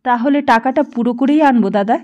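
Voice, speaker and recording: An anxious, hard-working mother from an audiobook novel , voiced by a woman reader. Her voice is fairly high, and she speaks in an earnest, urging way, like someone worn by want. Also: fairly quick, a little mellow, dull audio, lightly acted. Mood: pleading.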